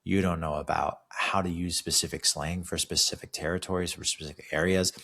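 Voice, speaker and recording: A man's voice: low-pitched, flat delivery, a little fast, vocal fry, a bit bright. A man from California, a fitness coach hosting a solo podcast, talking casually and often tagging his sentences with "right?". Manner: conversational, ends many phrases with a questioning "right?". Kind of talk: solo motivational podcast monologue.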